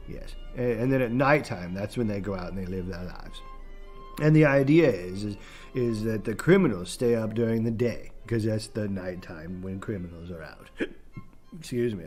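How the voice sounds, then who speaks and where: Man putting on a pompous, rambling British film critic, lowish voice. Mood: rambling, pleased. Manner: halting, rambling, self-important. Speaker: podcast film reviewer. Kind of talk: rambling comic monologue.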